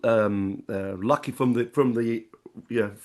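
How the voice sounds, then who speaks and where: Australian man hosting an interview on a call, mid-pitched voice, attentive, keeps up steady agreeing murmurs. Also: measured pace. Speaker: interviewer, host. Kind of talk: casual interview.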